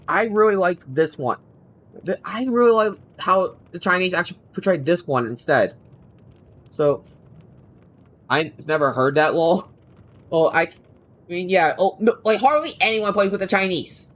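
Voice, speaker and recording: High-voiced man rambling on a game stream. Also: animated, fairly bright tone. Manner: rambling, halting, doubles back on himself. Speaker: game livestreamer. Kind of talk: casual chat with livestream viewers. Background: game music.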